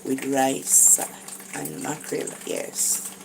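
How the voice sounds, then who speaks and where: A woman's voice: a bass voice, very animated, slow pace, gravelly. A tired Jamaican woman narrating a casual cooking vlog in a small kitchen, hesitant, with many fillers and restarts. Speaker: cooking vlogger. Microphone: phone held above her. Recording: phone audio with kitchen noise.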